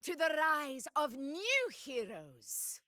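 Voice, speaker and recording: Grand, bright-voiced ruler addressing warriors. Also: female voice, sits high, huge pitch swings, takes it slowly, no room sound, full character voice. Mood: rousing, grand. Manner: grand, solemn, declamatory. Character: proud commanding female ruler addressing gladiators. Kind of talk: ceremonial speech in game voice acting.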